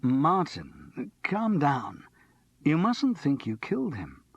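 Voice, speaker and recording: A man reading aloud and voicing a calm, reasonable middle-aged man who soothes his friend. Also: fairly high pitch, slow, creaky, breathy edge, no echo, voice pushed up, heavily acted. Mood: reassuring. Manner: soothing, patient. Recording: slightly muffled dry recording.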